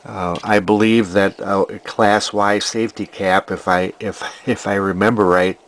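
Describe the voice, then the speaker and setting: A man's voice: lowish voice, flat delivery, measured pace. A man narrating an electronics repair casually, thinking aloud in a hesitant, rambling way. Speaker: vintage electronics repair hobbyist.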